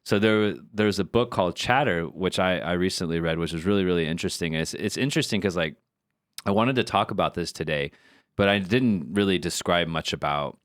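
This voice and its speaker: A very low-pitched man talks casually as a podcast co-host, working through his thoughts with frequent fillers and repeated words. The sound is dry and close, with no room around it. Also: a little fast, dry recording. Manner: thoughtful, builds ideas with many fillers and repeats.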